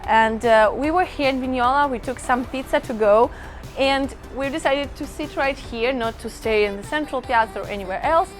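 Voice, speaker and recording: Chatty high-voiced woman vlogging outdoors. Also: normal speed, soft background music. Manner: chatty, enthusiastic, addresses viewers as guys. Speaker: travel vlogger. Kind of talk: casual travel vlog to camera. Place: outdoors in a small town.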